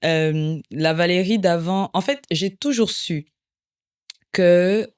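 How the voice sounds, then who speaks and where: Smooth-voiced woman in her thirties from Cameroon. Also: fairly low pitch, a little mellow. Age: 30s. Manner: reflective, slow and searching, with fillers and pauses. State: emotional, close to tears. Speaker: podcast guest, entrepreneur. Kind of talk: podcast interview.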